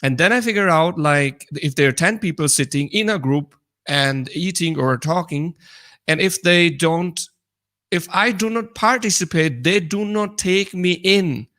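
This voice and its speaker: A man with a Pakistani accent talking casually as a podcast co-host, explaining things earnestly in halting non-native English. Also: on the high side, fairly bright tone. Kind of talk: casual personal conversation.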